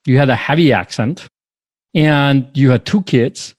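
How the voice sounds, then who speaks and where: A man's voice: mid-range voice, measured pace, darkish tone, no room sound. A man hosting a podcast interview, upbeat and enthusiastic as he introduces his guest. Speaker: podcast host.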